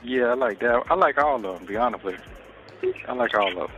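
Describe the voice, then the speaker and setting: A man's voice: mid-range voice, lively intonation, fast, music in the background. A man chatting intimately as an inmate on a phone call, flirtatious, profane and given to repeating himself. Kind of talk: intimate casual phone chat. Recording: noisy, muffled recorded jail phone call.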